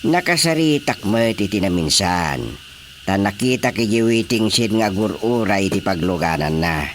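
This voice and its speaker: A man's voice: lowish voice, lively intonation, moderate pace, a little hiss or hum, natural voice. A man playing an inquisitive, helpful village official in an Ilocano radio drama comedy. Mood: curious. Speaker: barangay official.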